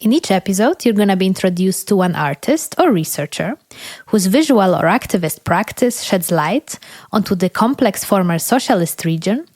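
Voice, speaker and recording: Woman podcast host, measured scripted delivery, dry close sound, mid pitch. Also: darkish tone, no echo. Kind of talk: scripted podcast intro, then interview questions.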